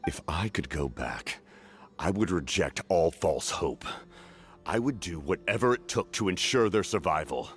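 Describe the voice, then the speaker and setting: A man's voice: deep voice, animated, a little slow, soft background music. A man playing a grim, haunted military commander in a fantasy game, stern and intense in his delivery. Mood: grieving, grave. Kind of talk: scripted fantasy game acting.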